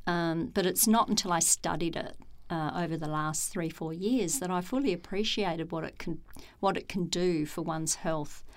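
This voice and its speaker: A middle-aged woman, a former executive turned meditation teacher, speaking in a calm, measured and thoughtful way with frequent pauses. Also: on the low side. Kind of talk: reflective interview talk.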